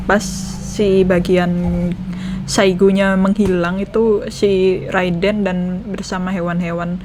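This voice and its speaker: A woman's voice: medium pitch, background noise. A young Indonesian woman with an Indonesian accent, giving casual reaction commentary on a game, thinking aloud and chatting as she goes. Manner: chatty, thinks aloud, switches between languages. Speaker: gaming reaction vlogger.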